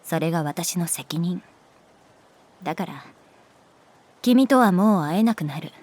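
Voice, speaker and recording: A woman voicing a calm, resigned, gently protective art teacher in a Japanese audio drama, speaking in a soft, measured, wistful way. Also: on the low side. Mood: firm, resigned. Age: adult. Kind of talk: Japanese audio drama acting, intimate dialogue.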